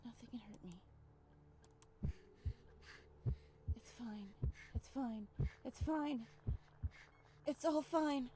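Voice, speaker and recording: A woman voicing a frightened young woman in a video game, talking anxiously and haltingly to soothe herself. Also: on the high side, background noise.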